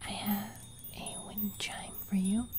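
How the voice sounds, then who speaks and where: A young woman whispering a gentle ASMR roleplay very close to the microphone, breathy, softly repeating phrases. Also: medium pitch, little pitch movement, a little slow, slight background noise. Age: young adult. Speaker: ASMR host roleplaying a sleep fairy. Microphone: close studio microphone.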